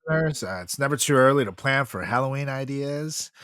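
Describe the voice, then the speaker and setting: A man's voice: mid-range voice, lively intonation, a little fast, crowd noise. A man co-hosting a podcast in casual chat, joking and laughing often as he hypes the show. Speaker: podcast co-host.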